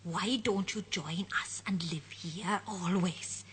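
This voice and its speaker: A kindly, motherly old woman from a storybook, speaking gently and hushed as a woman reads her. The tone sits a touch lower than a lively reading voice. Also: slow, slight background noise.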